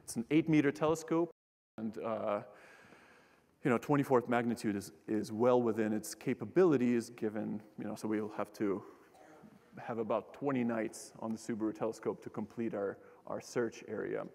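A man's voice: lowish voice, steady tone, normal speed, creaky, a little mellow. A young man giving a casual public science talk from a lecture hall stage, joking and restarting his sentences with many fillers. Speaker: keynote speaker, astronomer. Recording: long hall reverb.

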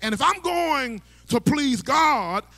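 A man's voice: extremely high pitch, lively intonation, slow pace, sharp tone. A middle-aged male pastor preaching from a church stage into a handheld mic, with rhythmic sing-song phrasing and stammering restarts. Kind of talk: sermon. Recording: long church hall reverb.

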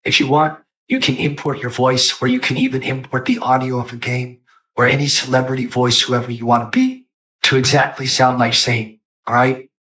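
Mid-pitched male game character by a man. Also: fairly even intonation, normal speed, a bit bright, low-quality recording. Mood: upbeat. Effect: AI voice changer.

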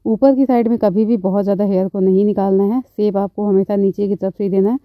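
A woman beautician calmly explaining eyebrow threading step by step, as she works, often repeating her phrases. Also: mid-range voice, fast, no echo, dull audio. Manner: calm, instructive, repeats phrases. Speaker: beautician, channel host. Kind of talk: step-by-step tutorial narration.

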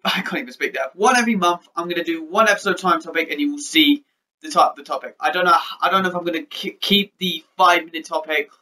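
High bright young man vlogging. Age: young adult. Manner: rambling, restarts often, says he stutters. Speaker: YouTuber. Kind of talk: casual vlog update. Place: bedroom with posters, indoors.

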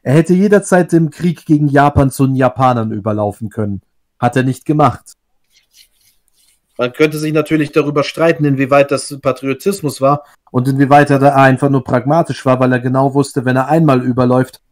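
Man, born in russia, casual chat, thoughtful, restarts a lot, mid-pitched. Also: brisk. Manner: thoughtful, restarts sentences often. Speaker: discussion guest.

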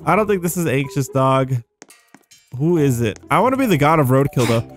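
A man's voice: mid-range voice, very animated, brisk, faint music behind. A young adult man giving casual, rambling gameplay commentary, easily amazed and thinking aloud as he hosts. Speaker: gaming video host.

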